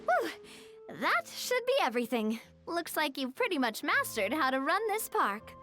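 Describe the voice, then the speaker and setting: A woman's voice: high voice, sing-song, normal speed, bright, forceful tone, faint music behind, heavily acted. A woman voicing an upbeat, encouraging young businesswoman in a video game, cheerful and articulate. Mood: reassuring.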